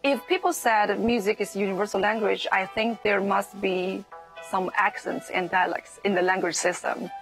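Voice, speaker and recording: A woman from China with a Chinese accent, speaking thoughtfully in an interview, pausing between phrases. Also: mid-range voice, fairly even intonation, low-quality recording. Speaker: musician. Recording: soft music underneath.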